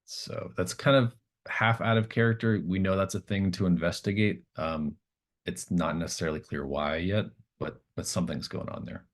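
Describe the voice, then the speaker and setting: A very deep-voiced man acts as game master for a tabletop roleplaying session. He explains scenes in an improvised way, pausing to think aloud as he feels out the story.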